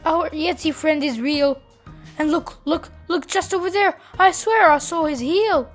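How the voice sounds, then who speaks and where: Storybook kid adventurer played by a woman: high, eager, determined, light music behind. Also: unhurried. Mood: excited. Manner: eager, exclamatory. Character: excited, determined young kid hunting a yeti.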